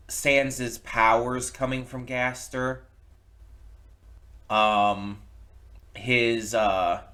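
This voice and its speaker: A man giving casual opinion commentary as a reaction video host, speaking haltingly and often restarting his words. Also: medium pitch, a bit bright.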